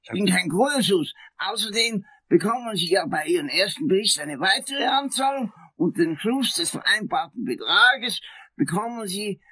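An actor playing an outraged, vengeful, pompous prosecutor in a radio drama, with a raspy voice and a theatrical, drawn-out delivery. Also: male voice, high-pitched, lively intonation, pushed and bright, full character voice. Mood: haughty, indignant. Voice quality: raspy, creaky, often hissing.

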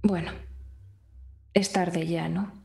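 A woman's voice: fairly low pitch, fairly even intonation, slow pace, creaky, warm tone. A woman playing a tabletop role-playing game, casually and hesitantly describing what her character does.

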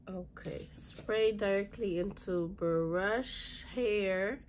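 A woman in her thirties, hoarse and raspy from a cold, chatting through a beauty unboxing and reading product labels aloud in a halting way, in a small room. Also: on the low side, slight background noise. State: hoarse, congested from illness. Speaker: beauty vlogger. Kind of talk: casual unboxing chat. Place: small bedroom with fluffy chair, indoors.

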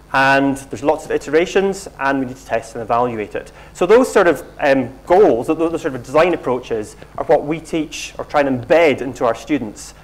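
A middle-aged man from Scotland giving a conference keynote in a large lecture hall, explaining in a relaxed way with frequent ums and restarts. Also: fairly high pitch. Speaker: keynote speaker.

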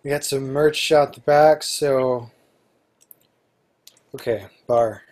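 A man's voice: medium pitch, slow pace, darkish tone, natural voice. A man playing a pushy, anxious indie band frontman in an amateur script read. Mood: deflated. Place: home, group video call.

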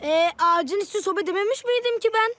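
A woman voicing a playful, cheeky, excitable little child creature in a children's cartoon, expressive and full of exclamations. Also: very high voice, brisk. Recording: music under the voice.

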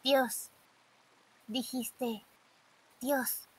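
A woman voicing a quiet, emotionless teenage girl in a live fan dub of a game, speaking in a flat, calm, breathy way. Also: sits high, exaggerated voice, poor audio. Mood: intent. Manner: flat, calm, slow questioning.

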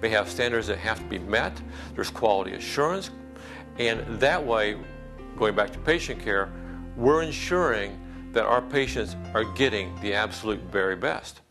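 A man's voice: lowish voice, expressive, moderate pace, over music. A man in a documentary interview, explaining earnestly how emergency medical systems assure quality.

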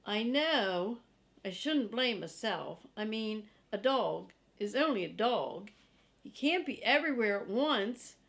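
A woman's voice: medium pitch, expressive, slow, vocal fry, a bit bright, exaggerated voice. Woman reading as self-important ranch guard dog. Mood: proud, folksy. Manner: boastful, folksy, emphatic.

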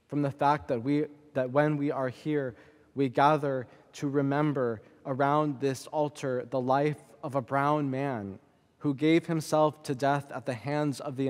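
A man's voice: medium pitch, darkish tone. Man preaching in a reverberant church. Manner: measured, rhythmic preaching with lists and repetition. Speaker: priest. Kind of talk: sermon. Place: large church, at a pulpit. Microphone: pulpit microphone.

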